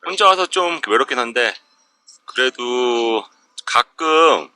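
A man with a medium-pitched voice narrates his camping trip in a casual, chatty way. He addresses viewers politely. The campsite recording carries clear background noise. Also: animated, takes it slowly, pushed and bright. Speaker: camping vlogger. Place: winter campsite, outdoors and in a tent. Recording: clear outdoor ambient noise.

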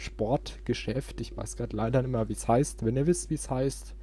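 A man's voice: medium pitch, normal speed, mellow. A man chatting informally as he hosts a let's play, thinking aloud while he plays. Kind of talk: casual gaming commentary.

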